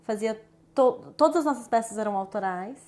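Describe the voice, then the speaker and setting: Lively Minas Gerais woman in an interview. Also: a little high, normal speed. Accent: southern Minas Gerais Brazilian Portuguese. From southern Minas Gerais, Brazil. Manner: lively, animated storytelling. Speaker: guest, actress. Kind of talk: casual interview.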